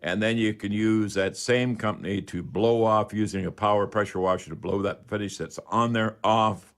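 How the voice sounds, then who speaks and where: A man's voice: on the low side, fairly even intonation, normal speed. A man hosting a radio call-in show, giving unscripted, instructive how-to advice and repeating key phrases for emphasis.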